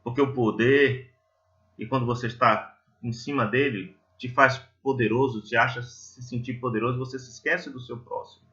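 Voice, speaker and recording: Brazilian guy doing a spiritual talk, forceful bright voice, kinda dull recording, asks listeners questions. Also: medium pitch, fairly even intonation, normal speed. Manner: didactic, conversational, poses rhetorical questions to listeners. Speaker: lay preacher at a Spiritist centre. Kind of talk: religious talk with storytelling and reflective questions.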